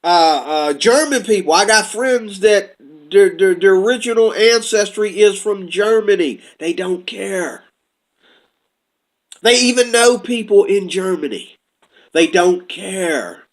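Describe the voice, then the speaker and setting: A man's voice: high-pitched, lively intonation, takes it slowly. A man giving a casual, rambling commentary monologue, talking from notes and drifting off topic, on faith and identity. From United States. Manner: rambling, talks from notes, puts on quoted voices.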